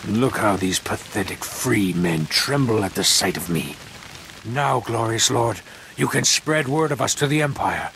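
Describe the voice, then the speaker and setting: An actor playing a fanatical, bloodthirsty slave cult leader in a video game, speaking in a grandiose, reverent way. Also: male voice, on the low side, animated, a little slow, a bit bright, slight background noise. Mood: fervent, proud. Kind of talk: video game villain acting.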